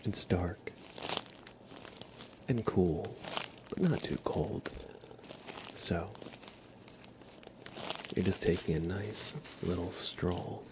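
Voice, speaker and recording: A man speaking gently and softly, at times whispering, as an ASMR livestreamer guiding a relaxing scene. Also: low voice, fairly even intonation. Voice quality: soft, partly whispered. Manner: gentle, unhurried, casual. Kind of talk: ASMR, soft relaxing talk and guided visualization. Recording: clear crinkling and ambient noise.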